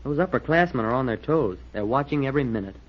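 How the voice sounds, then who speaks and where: An actor playing a keen, earnest young cadet in an old-time radio drama, explaining things emphatically. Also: male voice, medium pitch, animated, quick pace, close to an everyday voice. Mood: keen, insistent. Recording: muffled vintage radio recording.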